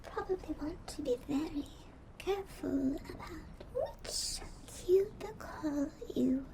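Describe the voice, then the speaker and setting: A woman acting a sulky, self-pitying ghost schoolgirl in an ASMR roleplay, whiny and drawn-out, often slipping into breathy whispers. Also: sits high, expressive, noisy background, full character voice. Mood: sulky, morbid.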